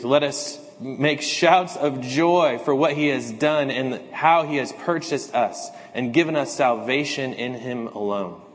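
A slightly hoarse man speaking as a pastor, reading scripture, praying and giving announcements in a measured, earnest way. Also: mid-range voice, big-room sound. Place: church sanctuary.

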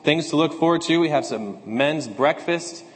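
A slightly hoarse man speaking as a pastor, reading scripture, praying and giving announcements in a measured, earnest way. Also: on the high side, long reverb tail. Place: church sanctuary.

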